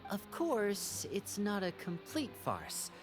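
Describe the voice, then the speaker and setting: A woman's voice: medium pitch, sing-song, slow pace. A woman playing a courteous, secretive young male stage magician in a video game, speaking in a polite, theatrical way. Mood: courteous, matter-of-fact. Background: game music.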